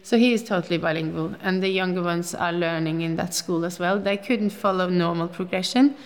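A woman in her fifties with a Norwegian accent talks in a rather low voice. She chats freely in a casual interview and rambles through her recollections. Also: a little fast. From Norway. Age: 50s. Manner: chatty, rambling with restarts and laughs.

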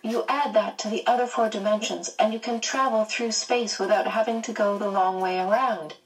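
A woman voicing a calm, precocious little boy who explains things patiently like a teacher, recorded at a distance. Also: mid-range voice, moderate pace, pitched above the performer's own voice, lightly acted.

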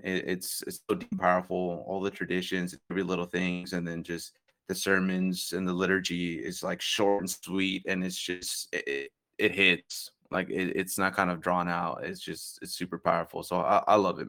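A man's voice: deep voice, fairly even intonation, normal speed, vocal fry, a bit bright. A young adult man chatting casually as a podcast guest, rambling with lots of "like" and "uh" and frequent restarts. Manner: rambling, many fillers and restarts. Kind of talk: casual conversation.

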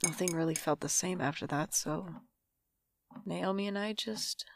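A woman's voice: on the low side, moderate pace, vocal fry, a little hiss or hum. A woman playing a guarded, wry young adult who is estranged from family, talking and narrating introspectively in an audio drama. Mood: guarded, weary. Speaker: main character and narrator.

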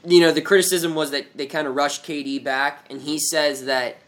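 A young man hosts a laid-back sports podcast with his friends. He rambles and jokes, dropping in fillers as he runs through the news. His voice sits a little high for a man. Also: steady tone, moderate pace, a bit bright. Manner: loose, joking, rambling with fillers. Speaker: podcast host. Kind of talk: casual sports podcast chat.

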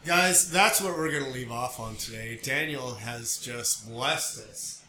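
A man's voice: medium pitch, measured pace, bright, forceful tone, echoey room, slight background noise. A man co-hosting a podcast in casual, joking chat with playful interjections. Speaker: podcast co-host.

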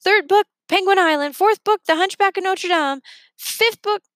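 A woman's voice: very high voice, moderate pace, fairly bright tone, no echo, clean studio sound, pitched above the performer's own voice. A woman voicing a small, talkative storybook robot who happily recites facts in a pedantic way. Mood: happily reciting.

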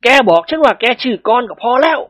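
A woman voicing a stern, easily riled older male nobleman who barks and shouts in bursts. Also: a little high, sing-song, quick pace, no room sound, voice pushed up. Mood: gruff. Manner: gruff barking, shouting in bursts.

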